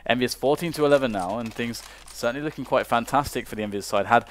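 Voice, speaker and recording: Excitable man calling esports play-by-play. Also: mid-range voice, slight background noise. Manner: quick excited calls, rises sharply at big plays. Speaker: esports caster. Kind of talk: play-by-play commentary.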